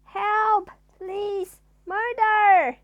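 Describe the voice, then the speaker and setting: A woman's voice: very high voice, steady tone, extremely slow, no room sound, dull audio, voice pushed up, exaggerated voice. A woman voicing a tiny, hysterically nervous male storybook character who shrieks and stammers melodramatically.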